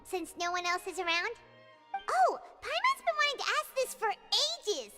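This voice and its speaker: A woman voicing a tiny, curious fairy companion in a video game, with a squeaky childlike voice and an excitable, blunt manner. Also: measured pace, heavily acted. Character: tiny childlike floating fairy companion, curious, chirpy, speaks in third person. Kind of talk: video game character voice acting. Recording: reverberant, loud music underneath.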